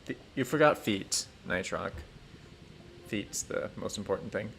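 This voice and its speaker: A man chatting casually on a gaming stream, thinking aloud in a halting, rambling way with dry, self-deprecating humour. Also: lowish voice, lively intonation, vocal fry, a bit bright, a little hiss or hum. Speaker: livestreamer playing a building game.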